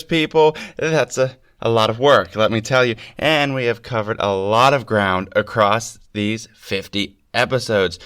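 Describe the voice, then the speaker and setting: Congested, slightly gravelly male podcast host. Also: fairly low pitch, a bit bright. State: congested with a cold. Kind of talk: scripted lecture on art history.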